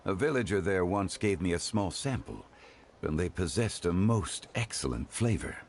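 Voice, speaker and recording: An actor playing a refined, courteous gentleman in a video game, speaking formally and eloquently with calm dignity. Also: sits low, a little hiss or hum. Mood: composed, polite. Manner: formal, eloquent, measured phrasing.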